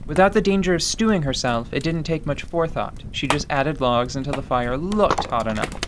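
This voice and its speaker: A man giving wry, measured scripted narration of a fantasy tabletop story. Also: on the high side, animated, noisy background. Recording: music underneath.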